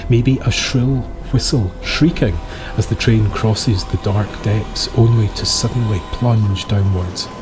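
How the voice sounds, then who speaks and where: A Scottish man reading a scripted dark tale as a storyteller, with solemn, deliberate phrasing. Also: on the low side.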